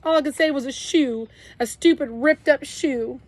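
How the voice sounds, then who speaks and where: Sobbing little boy, high and shaky, ashamed and pleading, read by a woman; storybook audiobook. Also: animated, moderate pace, no room sound. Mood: tearful pleading. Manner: choked, pleading, trembling. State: crying. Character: tearful ten-year-old boy, sensitive and ashamed.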